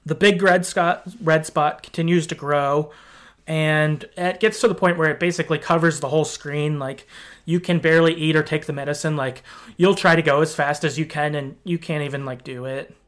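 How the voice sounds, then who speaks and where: Casting: man with a higher-than-average voice, casual podcast host retelling a story, conversational and tentative. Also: fairly even intonation, normal speed, fairly bright tone. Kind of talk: casual podcast discussion, recapping a game's story.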